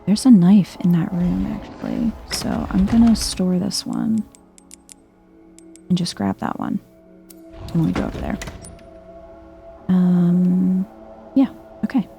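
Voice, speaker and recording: Soft-spoken woman, very dark mellow tone, creaky. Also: on the low side, expressive, slow, pauses often, soft background music. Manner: hushed, chill, thinking aloud to herself. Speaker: video game streamer. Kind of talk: soft-spoken ASMR let's play commentary.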